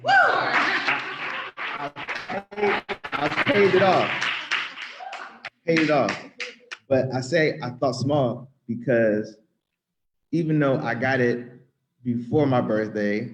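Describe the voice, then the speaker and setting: A man's voice: mid-range voice, very animated, drawn-out words, pauses often, very noisy. A man around thirty giving an earnest, rambling spoken testimony in church, often restarting and repeating himself. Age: about 30.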